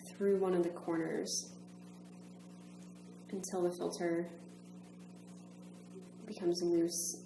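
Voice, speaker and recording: A woman's voice: on the low side, gravelly. A woman narrating an instructional demonstration in a methodical, step-by-step manner. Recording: roomy echo, faint background hum.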